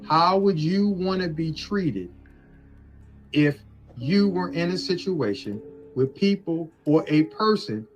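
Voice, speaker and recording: A man's voice: fairly high pitch, expressive, slow pace. A man arguing on a phone call, insistent and lecturing as he lays out his point. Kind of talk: argumentative phone conversation. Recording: leaked phone call with music underneath.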